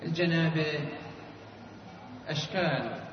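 A man's voice: fairly high pitch, takes it slowly. A male cleric giving a sermon on religious rulings, explaining step by step and raising listeners' questions to answer them. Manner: chanted opening invocation. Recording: distant echoey hall, dull lo-fi with noise.

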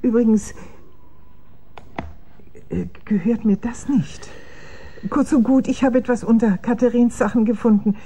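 Radio drama aunt: middle-aged, very mellow husky voice, nervous, hesitant, respectable. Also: female voice, on the high side, a little slow, long pauses. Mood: nervous confession.

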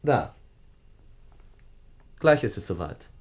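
A man's voice: fairly low pitch, big pitch swings, takes it slowly, a little mellow, husky, heavily acted. An actor playing a curt, secretive male scientist in a German science fiction radio drama. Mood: sardonic, guarded. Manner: curt, commanding, dismissive. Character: brusque, secretive male scientist guarding a lonely atoll.

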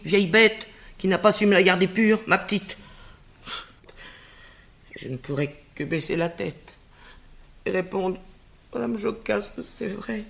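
An actress voicing an old royal nurse who scolds and dotes on her charge, in a stage drama. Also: vocal fry. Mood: lamenting. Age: older. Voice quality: lively scolding voice, swinging high and low.

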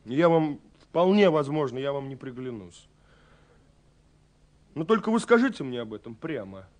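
A male actor plays a dreamy young man in love, a self-effacing romantic with a medium-pitched voice. He confides haltingly and with agitation, as in a 1970s radio drama reading of a letter. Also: big pitch swings, natural voice. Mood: sheepish, confiding. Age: young adult. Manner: agitated, halting, confiding, with swells and hushed drops.

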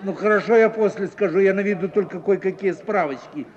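An actor playing a fussy, excitable, doting old uncle in a 1950s Russian radio comedy, flustered and exclamatory. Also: male voice, high voice, brisk, some chatter behind, natural voice. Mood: astonished, fussing. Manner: exclamatory, flustered, repeating himself. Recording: muffled, echoey old recording with audience noise.

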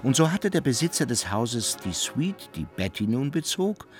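A man narrating a satirical radio play as a wry, measured storyteller who addresses his listeners. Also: mid-range voice, big pitch swings, natural voice. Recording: music behind the voice.

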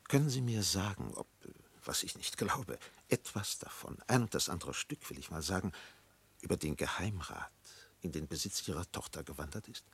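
Radio drama pastor, old, grave and probing: gravelly low voice, formal, measured delivery. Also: male voice, animated, heavily acted. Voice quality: gravelly, husky. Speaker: actor. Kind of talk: German radio play acting.

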